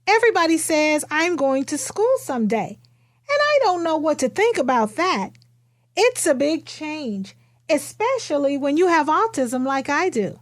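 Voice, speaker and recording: A woman voicing a quiet, matter-of-fact young kindergarten girl who tells her own storybook tale in simple, expressive phrases. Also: sits high, measured pace, dry recording, clean studio sound. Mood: earnest, matter-of-fact.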